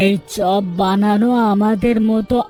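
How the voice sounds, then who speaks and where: A woman's voice: medium pitch, fairly even intonation, unhurried, dark tone, soft background music, natural voice. A woman playing a worn-down, cautious village father in a cartoon, with a plaintive, weary manner. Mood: discouraged. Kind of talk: Bengali cartoon dialogue acting.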